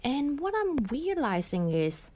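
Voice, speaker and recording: A woman's voice: sits high, big pitch swings, a little slow, darkish tone. A woman leadership coach, a guest on a radio show, telling her career story in an earnest, reflective way with small slips of grammar. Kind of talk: interview storytelling.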